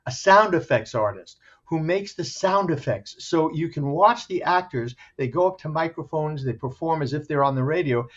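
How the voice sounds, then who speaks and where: A man with a slightly high voice speaks as an interview guest, a theater director keen on his production. He describes things with enthusiasm. The sound is a bit dull and lo-fi. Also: lively intonation, moderate pace, few pauses, a bit bright. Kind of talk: enthusiastic interview talk promoting a show.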